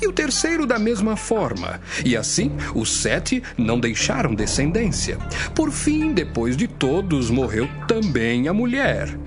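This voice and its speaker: A man's voice: fairly high pitch, wildly swooping pitch, measured pace, music in the background. An actor playing a sceptical male religious challenger in a scripture audio drama, posing questions in a theatrical, sing-song manner. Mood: sly, sceptical.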